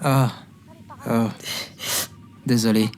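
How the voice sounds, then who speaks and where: A man's voice: mid-range voice, little pitch movement, extremely slow, a little mellow, smooth. An actor playing a listless, confused young man in an audio drama, hesitant and mumbling. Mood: apologetic. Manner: short mumbled replies, hesitant. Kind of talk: French audio drama acting.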